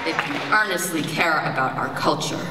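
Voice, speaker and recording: A teenage girl from the United States performing spoken word poetry on stage, with an impassioned, rhythmic delivery and pointed pauses. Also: deep voice. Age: teens. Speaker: slam poet. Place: large performance hall, on stage. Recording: echoey hall from far off, crowd noise. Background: live audience.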